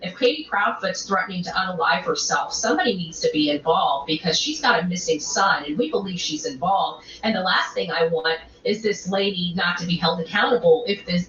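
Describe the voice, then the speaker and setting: A woman giving rambling livestream commentary, halting and repeating herself for emphasis. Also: fairly low pitch, fairly bright tone. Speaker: online commentator. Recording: roomy, muffled, faint background noise.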